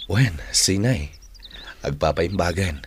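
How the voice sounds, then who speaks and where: Deep-voiced, gentle older brother. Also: male voice, animated, takes it slowly, slight background noise. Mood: grateful. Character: gentle, caring man, protective older brother. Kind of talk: radio drama acting.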